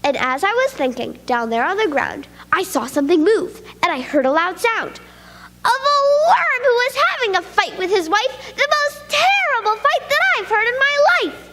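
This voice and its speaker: A woman's voice: extremely high pitch, big pitch swings, normal speed, pushed and bright, in a large hall, voice pushed up, full character voice. A woman reading the part of a flustered schoolboy who stammers out a long, breathless excuse. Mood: anxious.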